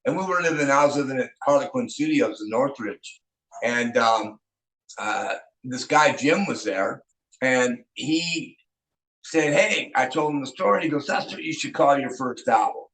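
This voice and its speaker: A man's voice: mid-range voice, moderate pace, fairly bright tone. Rambling man, echoey room. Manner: rambling, many fillers and restarts. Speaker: rock band singer and guitarist. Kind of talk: casual interview storytelling.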